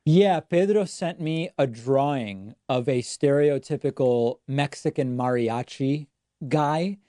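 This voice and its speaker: A man's voice: medium pitch, mellow, dry recording. A male host of a call-in talk show, asking callers dry, probing questions.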